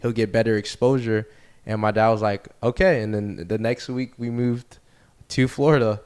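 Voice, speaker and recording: A young man from the United States, a baseball player guest, talking casually in an interview with a relaxed, rambling way of telling stories. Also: on the low side, moderate pace, smooth. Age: young adult. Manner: relaxed, rambling storytelling with fillers.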